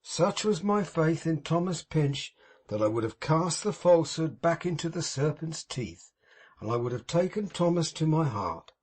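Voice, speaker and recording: A man puts on a pompous, self-righteous middle-aged gentleman from a storybook, raised higher than his own voice and full of theatrical moralizing. The tone is husky, and every line swells with grand, preachy importance. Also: lively intonation, moderate pace. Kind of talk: audiobook character reading.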